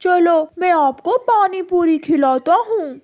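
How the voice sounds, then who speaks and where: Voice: very high-pitched, animated, slow pace, a little mellow, full character voice. An actor voicing an eager, mischievous young boy crow in a cartoon, chirpy and childlike. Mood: excited. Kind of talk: cartoon dialogue.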